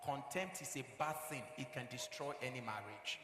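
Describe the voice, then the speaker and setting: A man's voice: mid-range voice, normal speed, close to an everyday voice. A man with a Nigerian accent acting on stage as a firm, fatherly marriage counsellor, lecturing emphatically with repetition and rhetorical questions. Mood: stern lecturing. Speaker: actor in a stage play. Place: theatre stage with live audience. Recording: echoey hall, noisy live stage recording.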